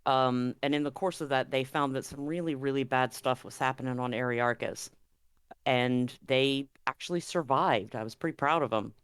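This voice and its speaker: A man's voice: medium pitch, normal speed, a bit bright, smooth, no echo, clean studio sound. A man acting as game master, hosting and narrating a tabletop roleplaying game stream.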